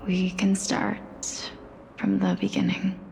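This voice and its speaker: A woman voicing a wistful, solemn demigod of death in a game, speaking softly and often breathily in a measured storytelling manner. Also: fairly low pitch, sing-song, soft background music, exaggerated voice. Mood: mournful, reflective. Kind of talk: scripted game character voice acting.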